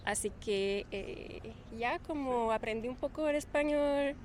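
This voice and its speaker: A woman's voice: sits high, unhurried, slight background noise. Chatty woman, light laughs. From Quebec, Canada. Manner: relaxed, laughs often, hesitant fillers. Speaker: guest. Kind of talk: casual interview conversation.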